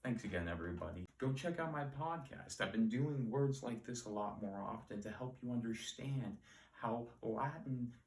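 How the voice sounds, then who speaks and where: A man teaching word origins in a relaxed, rambling, self-deprecating way. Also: mid-range voice, moderate pace, distant mic. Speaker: Latin etymology teacher. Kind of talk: casual solo etymology lesson. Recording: echoey room, voice off-mic.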